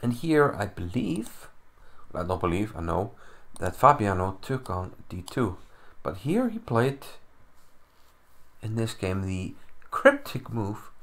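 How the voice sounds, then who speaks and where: A young man with an Icelandic accent talks through a chess game into his computer mic at home. His voice sits low, with a gravelly edge. He is chatty and loose, puzzling over moves aloud and making fun of himself. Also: sing-song, takes it slowly, a little hiss or hum. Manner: chatty, self-deprecating, thinking aloud. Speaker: chess commentator. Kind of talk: casual chess analysis. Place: home room. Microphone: computer mic, no camera.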